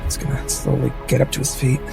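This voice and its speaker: A man's voice: fast talker, over music. A man playing a tabletop game, casually mixing in-character lines with descriptions of his character's actions. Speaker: tabletop roleplay player.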